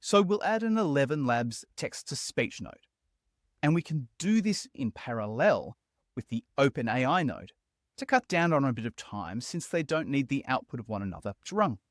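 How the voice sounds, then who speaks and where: A man hosting a software tutorial as a scripted voice-over, explaining steps in an upbeat, conversational way. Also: mid-range voice, big pitch swings, moderate pace, no echo, clean studio sound. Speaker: tutorial host.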